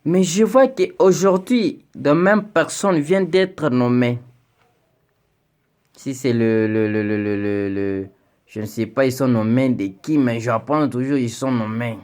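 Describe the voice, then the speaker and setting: Slow, earnest young Congolese man. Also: medium pitch, darkish tone. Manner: earnest, pleading, rising into indignation with repeated stammered words. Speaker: citizen addressing the president. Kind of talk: spoken video message.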